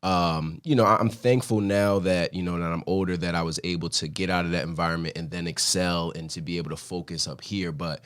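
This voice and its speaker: A man's voice: sits low, steady tone, fairly quick, few pauses, a bit bright. An American man from Philadelphia talking casually and reflectively as a guest in a studio interview. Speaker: guest, former college athlete and mentor. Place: podcast studio.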